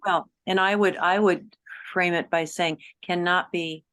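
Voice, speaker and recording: A woman participant in a casual conversation, asking questions gently and thoughtfully. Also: lowish voice, fairly even intonation, unhurried, clean tone, no echo. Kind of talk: casual chat.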